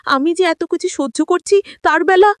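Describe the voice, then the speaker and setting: A woman's voice: extremely high pitch, moderate pace, dry recording, clean studio sound, pitched above the performer's own voice. A woman voicing a jealous, angry husband in a family soap, accusing and resentful. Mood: bitter.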